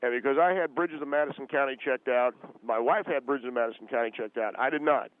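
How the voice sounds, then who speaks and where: A man voicing a defensive, humorless radio caller who argues in a halting, earnest, indignant way over a telephone line. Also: mid-range voice. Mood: indignant, suspicious. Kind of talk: comedic phone-in radio act. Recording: tinny, band-limited phone line.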